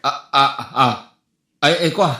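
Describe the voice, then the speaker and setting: A man playing a flustered, excitable jeepney driver in an Ilocano comedy drama, stammering and exclaiming, with an Ilocano accent. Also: on the high side, takes it slowly. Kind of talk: comedy radio-drama acting. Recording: roomy, echoey voice recording.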